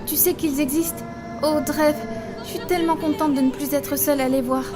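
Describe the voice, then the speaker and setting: A woman's voice: sits high, fairly even intonation, brisk, close to an everyday voice. A woman playing an anxious, earnest young villager who sees spirits, acting with urgent emotion in a French audio drama. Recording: prominent music behind the voice.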